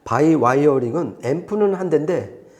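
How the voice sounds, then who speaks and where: A man with a fairly high voice and a mellow, dark tone. He presents as a hi-fi expert in a conversational teaching style, laying things out step by step and restating key points. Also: expressive, moderate pace. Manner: didactic, explains step by step with repetition. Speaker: hi-fi expert presenter explaining audio setups. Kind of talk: conversational explainer.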